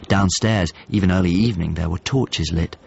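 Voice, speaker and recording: An actor playing a thoughtful painter's model, narrating a radio drama in measured, descriptive phrases. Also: male voice, deep voice, gravelly. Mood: reflective. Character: thoughtful male painter's model grieving a lost friend. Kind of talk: radio drama, reflective first-person narration. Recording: muffled audio with music underneath. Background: music.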